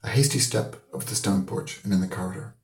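A man's voice: low voice, a little fast. A man with an Irish accent reading literary narration as an audiobook reader. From Ireland. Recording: roomy echo.

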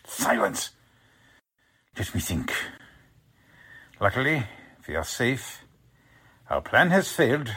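A man acting out a menacing Nazi spy chief from a 1940s-style radio thriller. He speaks slowly and huskily, commanding and contemptuous, with a widely swinging pitch. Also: a bit bright, breathy edge, exaggerated voice. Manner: deliberate, commanding.

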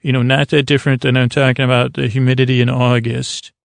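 A man hosting a sleep podcast in a meandering, halting, self-deprecating bedtime monologue, with a gravelly creak in his voice. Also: mid-range voice, flat delivery, no echo. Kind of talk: rambling, improvised bedtime monologue.

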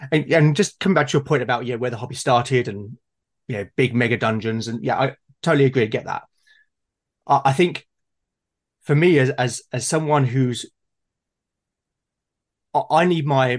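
A man with a medium-pitched voice talks in a conversational way. He is hesitant, circling back and repeating words while he works out his thought. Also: moderate pace, pauses often. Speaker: tabletop gaming podcast speaker. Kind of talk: casual conversational discussion.

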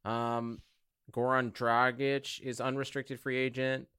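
A man talks sports casually as a podcast co-host. His voice sits at a middle pitch, recorded dry and close, and his wording is loose, slangy and sweary. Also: level tone, slow pace, fairly bright tone, clean tone, no room sound. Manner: informal, sweary, bro-like slang.

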